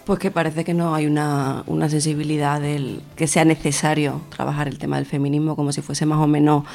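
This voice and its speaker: A woman's voice: sits low, level tone, a little fast, creaky, dark tone, soft background music. A young adult woman answering as an interview guest in spontaneous, somewhat hesitant phrases, searching for words. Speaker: interview guest, feminist activist.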